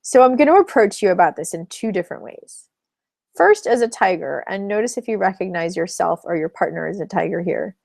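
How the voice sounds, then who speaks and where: Woman coach, webinar teacher, slight vocal fry, dry close sound, chatty and explanatory. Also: lowish voice, lively intonation, a little fast, a little mellow, no room sound. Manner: chatty, explanatory, laughs between points. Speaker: relationship coach.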